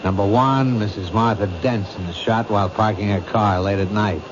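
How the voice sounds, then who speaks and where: Male actor as cynical American cop boss, gruff, weary, lowish voice, radio play, noisy vintage recording. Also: fairly even intonation, moderate pace, close to an everyday voice. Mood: weary certainty. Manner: blunt, cynical. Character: world-weary, gruff male police captain. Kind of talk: radio drama acting. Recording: muffled, noisy old radio broadcast.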